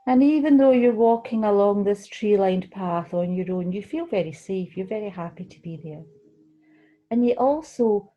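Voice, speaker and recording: A woman leading a guided relaxation meditation, speaking gently and soothingly, unhurried, with pauses between phrases. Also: mid-range voice, expressive, muffled recording. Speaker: guided meditation leader, tai chi qigong teacher.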